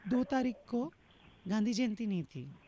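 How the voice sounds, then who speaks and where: A man's voice: sits high, lively intonation, normal speed, dark tone, no room sound. A man on a phone call, hesitant and placating, making excuses.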